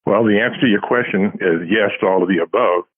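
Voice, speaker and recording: A man's voice: lowish voice, fast talker, husky. An older man answering interview questions casually as a guest author, over a tinny phone-like line.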